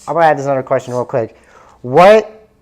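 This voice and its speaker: A man's voice: medium pitch, lively intonation, brisk, a little mellow. Eager man hosting a casual interview. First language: Spanish. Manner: eager, repeats and restarts his questions.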